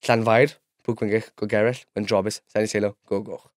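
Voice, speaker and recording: A man's voice: lowish voice, little pitch movement, a little fast, no room sound, clean studio sound. Earnest young man with a South Welsh accent. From Llanelli, Wales. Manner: earnest, stammering restarts, eager. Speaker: student guest. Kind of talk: casual chat.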